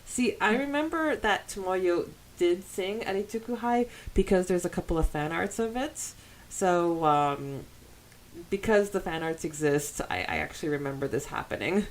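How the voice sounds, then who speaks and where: A woman with a slightly low voice co-hosts a fan podcast. She moves between casual chat and reading listener comments aloud. Her delivery is giggly and enthusiastic. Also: lively intonation, normal speed. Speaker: podcast co-host.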